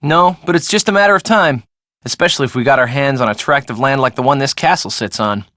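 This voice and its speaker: A man's voice: medium pitch, fast, pushed and bright, dry recording, studio quality, natural voice. An actor playing a defensive, glib young American man in casual, conversational video game dialogue.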